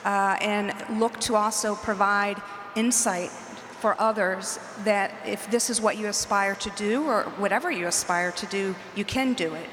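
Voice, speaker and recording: A woman executive talking in a measured, earnest way on a conference panel, into a handheld mic. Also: mid-range voice, fairly even intonation, fairly bright tone, slight background noise. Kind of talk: panel discussion. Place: large conference hall stage. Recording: roomy hall reverb, faint ambience.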